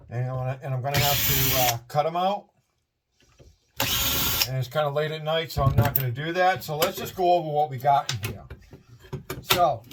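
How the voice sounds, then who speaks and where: A middle-aged man with a Massachusetts accent, chatting in a rambling, upbeat way while taking apart scrap in a shed at night. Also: on the high side. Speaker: scrapping video host. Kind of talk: casual hands-on narration. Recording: distant mic, faint noise.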